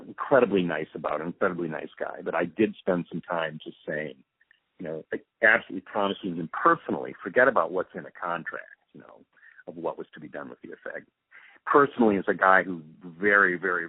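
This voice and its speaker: Deep-voiced husky man on a phone line. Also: no echo. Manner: conversational, anecdotal, explanatory. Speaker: interview guest, radio drama producer. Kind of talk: casual interview, reminiscing. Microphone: phone call. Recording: tinny narrowband phone line.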